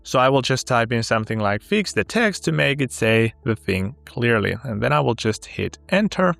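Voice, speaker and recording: A man whose first language is Finnish, upbeat and walking step by step through a sponsored software tutorial. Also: medium pitch, sing-song, moderate pace, vocal fry, no room sound, faint music behind. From Finland. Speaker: tech tutorial host.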